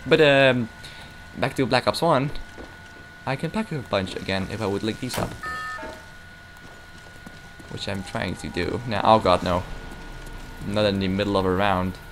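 A man's voice: fairly low pitch, unhurried, pauses often, a bit bright. A young man giving casual, rambling, self-mocking commentary while playing a game. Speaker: gameplay commentator. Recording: noisy game audio behind.

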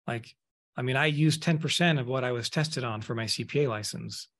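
Man, 30s, blunt and animated podcast guest, video-call audio from an office. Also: medium pitch, a little fast, a little hiss or hum. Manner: candid, emphatic, speeds up when animated. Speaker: guest, accounting firm owner. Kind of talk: casual podcast interview. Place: office room. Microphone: video call webcam.

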